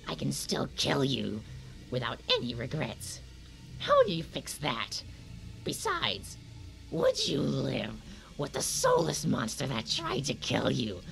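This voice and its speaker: A woman's voice: a bass voice, wildly swooping pitch, slow pace, gravelly, over music, full character voice, poor audio. A woman voicing a bitter, soulless talking flower in a comic dub, scornful and dramatic, swinging from sullen to menacing.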